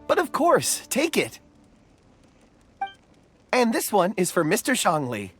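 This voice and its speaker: A man playing a gentle, earnest young man in a video game, polite and soft-spoken. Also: sits high, huge pitch swings, slow pace, full character voice. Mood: pleased. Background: game music.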